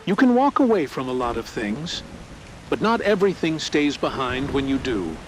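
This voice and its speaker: An actor playing a brooding detective in a scripted noir monologue. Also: male voice, mid-range voice, big pitch swings, normal speed, over music, lightly acted, rough recording. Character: brooding male noir detective with a bad feeling.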